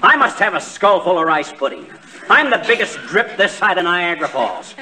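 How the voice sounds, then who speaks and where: A blustering American husband in a 1940s radio comedy. His voice is bright and forceful, pitched a bit high. He is scatterbrained and hard on himself, full of slangy, exaggerated wisecracks. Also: big pitch swings, moderate pace, a little hiss or hum, exaggerated voice. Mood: exasperated.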